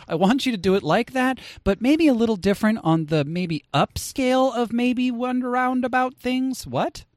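Man hosting with an animated, swinging pitch. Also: moderate pace, no echo, clean studio sound. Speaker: podcast host. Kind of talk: casual comedic podcast chat.

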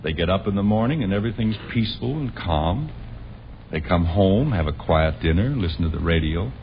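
A man's voice: low-pitched, expressive, fairly quick. An actor playing an exasperated middle-aged American father who grumbles wryly in a 1950s radio sitcom. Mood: wry grumbling. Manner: wry, sarcastic grumbling. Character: exasperated suburban father, put-upon and grumbling. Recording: muffled, noisy old radio broadcast.

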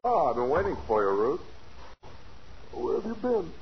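An actor playing a bitter, suspicious, wounded husband in a 1940s radio thriller, hard-bitten and insistent. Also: male voice, a little high, sing-song, moderate pace. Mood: weary, controlled. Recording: muffled 1940s radio recording, faint noise.

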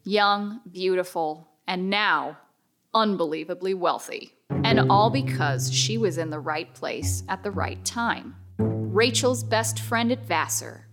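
A woman performing as a wry, ominous gothic storyteller, narrating a scripted radio drama in a measured, literary way. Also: lowish voice, fairly bright tone. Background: prominent music.

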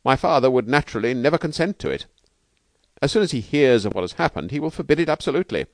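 A man reader puts on a stuffy young gentleman from a novel. He is indignant and pompous, pitched up from plain narration, with clipped delivery in a dry space. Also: expressive, fast talker, dry recording, natural voice. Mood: haughty.

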